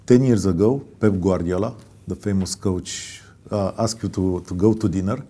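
A man's voice: low-pitched, moderate pace, warm tone, breathy edge. A middle-aged man with a Romanian accent, asking short, curious interview questions. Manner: curious, brief prompting questions. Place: dim hotel lounge, seated in armchair.

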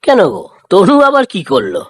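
A woman voicing a calm, responsible farmer father with a husky voice in a children's cartoon. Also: medium pitch, normal speed, dry recording, studio quality. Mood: curious.

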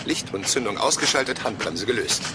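An actor playing an eager, overly correct police sergeant, formal and deferential, in a German crime audio drama. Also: male voice, medium pitch, expressive, a little fast, background noise, lightly acted. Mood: officious. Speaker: detective sergeant.

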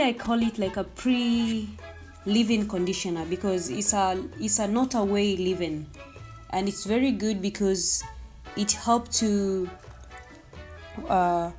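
A woman's voice: mid-range voice, darkish tone. A young woman whose first language is Swahili, chatting in a casual hair tutorial, explaining each step and slipping Swahili words in. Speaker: hair vlogger.

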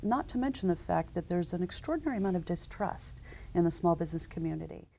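A woman in her forties speaks as a seated conference interview guest. Her voice sits low with a gravelly edge and a dark, mellow color. She talks in a measured, businesslike way, filling gaps with ums and uhs. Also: expressive, a little hiss or hum. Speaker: company founder, interview guest. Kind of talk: conversational interview answers.

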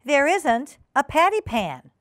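Brief: female reader as prim storybook cat hostess, high lilting pitch, genteel, fussy, sweetly formal with a severe streak. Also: very animated, slow, no room sound, studio quality, full character voice. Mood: prim, disapproving. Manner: prim, formal, oversweetly polite.

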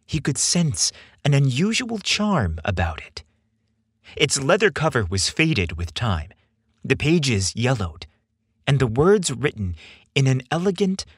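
Expressive, husky male storyteller. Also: medium pitch, unhurried, no echo, studio quality. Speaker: podcast host and story narrator. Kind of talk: scripted story narration.

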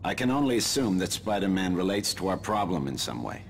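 Deep-voiced, stern corporate boss. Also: male voice, sing-song, fairly quick, vocal fry, a little hiss or hum, full character voice. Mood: grave, demanding. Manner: grave, commanding. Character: stern male corporate boss, driven and calculating. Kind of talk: video game cutscene acting.

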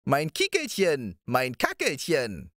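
A man's voice: medium pitch, wildly swooping pitch, slow, fairly bright tone, no echo, studio quality, voice pushed up, exaggerated voice. A man voicing a wheedling old witch of the moor in a storybook reading, coaxing and sing-song.

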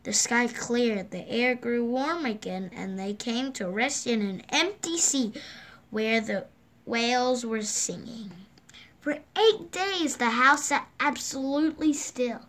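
High, bright-voiced woman reading slowly. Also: animated. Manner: slow, gentle read-aloud pacing with lifted voices for quoted lines. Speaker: storybook reader. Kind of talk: reading a picture book aloud. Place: indoors at a table. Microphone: phone or camera filming the book from above.

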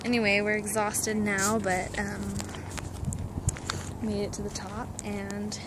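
A woman's voice: medium pitch, completely flat delivery, takes it slowly. A young woman vlogging in a park with a phone at arm's length, chatting casually and giggling as she teases. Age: young adult. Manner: giggly, playful teasing. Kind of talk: casual vlog chat. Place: outdoors in a city park and on a mountain trail. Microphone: phone held at arm's length. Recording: outdoor noise behind phone audio.

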